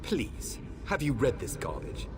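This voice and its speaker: A man's voice: mid-range voice, wildly swooping pitch, unhurried, background noise. An actor playing an arrogant young male elf mage student, haughty and sarcastic, in a fantasy game. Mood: scornful. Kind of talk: scripted fantasy game dialogue. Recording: loud background music under the voice.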